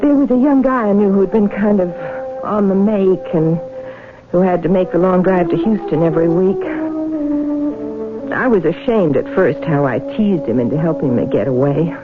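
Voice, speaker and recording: A woman's voice: on the low side, normal speed, lightly acted. Actress as a plaintive young farm woman. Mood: wistful, weary. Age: young adult. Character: weary, vulnerable young Texas farm woman recalling hard past. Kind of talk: radio drama acting, confessional narration. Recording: tinny vintage radio, music behind.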